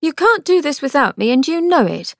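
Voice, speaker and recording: A woman's voice: high voice, very animated, a little fast, vocal fry, fairly bright tone, no echo, clean studio sound, voice pushed up, full character voice. Woman playing a prim, indignant young lady. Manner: indignant, earnest.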